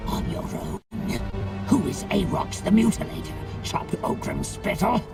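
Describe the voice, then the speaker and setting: Fantasy game minion creature, servile, theatrical, heavily creaky, distant over music. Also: female voice, mid-range voice, lively intonation, unhurried. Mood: indignant. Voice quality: creaky, gravelly. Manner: theatrical, addresses a master.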